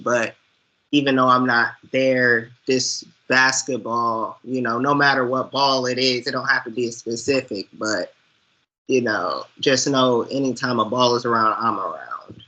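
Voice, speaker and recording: A man's voice: mid-range voice, measured pace, bright, forceful tone. A man speaking casually as a guest reviewer, on a slightly dull recording. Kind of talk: casual chat.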